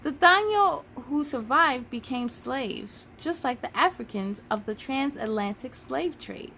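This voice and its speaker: An upbeat, patient schoolteacher from a children's storybook, acted by a woman, with a high voice that swings widely. She instructs with encouraging emphasis, over some background noise. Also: animated, measured pace, gravelly, pushed and bright, heavily acted. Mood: explaining. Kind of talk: children's storybook read-aloud.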